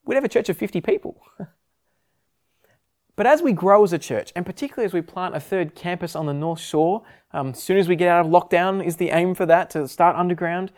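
A man's voice: high-pitched, brisk, darkish tone. A man who pastors a church, addressing his congregation and thanking them earnestly.

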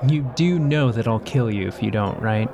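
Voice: mid-range voice, normal speed, creaky, lo-fi sound, crowd noise, background noise, natural voice. A performer playing a guarded, sardonic woman spy in an audio drama, terse, dry and unimpressed. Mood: guarded, menacing.

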